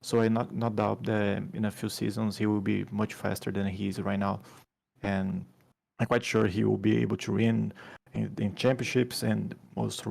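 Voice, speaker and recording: A man with a Brazilian accent, a race winner answering questions after the race in halting, repetitive phrasing over a headset call. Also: on the low side, flat delivery, creaky, darkish tone, slightly rough. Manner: halting, repeats words, second-language phrasing. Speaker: race winner being interviewed. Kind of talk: post-race interview.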